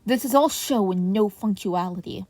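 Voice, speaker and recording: A woman's voice: mid-range voice, moderate pace, dry recording, soft background music, lightly acted. A woman voicing a commanding, exacting veteran male hero teacher in a story reading. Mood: seething. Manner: sharp, commanding, seething. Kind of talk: audiobook-style fan fiction character reading.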